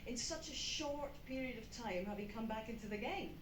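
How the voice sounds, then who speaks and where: Upbeat high-pitched woman host, arena echo, heavy noise. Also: expressive, fairly quick. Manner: polished, upbeat, addresses the crowd. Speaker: on-stage interviewer. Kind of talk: live post-match presenting. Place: large indoor arena. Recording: echoey arena with loud noise.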